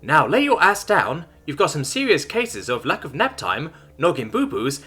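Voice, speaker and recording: A man's voice: on the high side, wildly swooping pitch, normal speed, sharp tone, husky, soft background music, pitched above the performer's own voice, heavily acted. A man voicing a laid-back robot nurse android that talks in surfer slang. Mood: chill bro. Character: cheerful bro-talking robot male nurse android.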